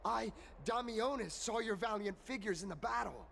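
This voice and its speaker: An actor playing an excitable male explorer and photographer in a video game, stammering and repeating words as he talks. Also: high-pitched, normal speed, gravelly, a little hiss or hum, full character voice. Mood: eager. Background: game music.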